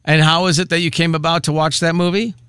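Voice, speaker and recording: A man's voice: fairly high pitch, little pitch movement, quick pace, a bit bright, clear, resonant tone, dry recording, clean studio sound. A man co-hosting a morning radio show, announcing promos and chatting easily. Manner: easygoing, agreeable, laughs along. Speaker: radio co-host. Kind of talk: casual morning radio banter.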